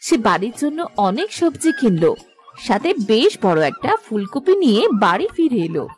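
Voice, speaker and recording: A woman's voice: a little high, big pitch swings, normal speed, soft background music. A woman narrator reading a scripted cartoon fairy tale.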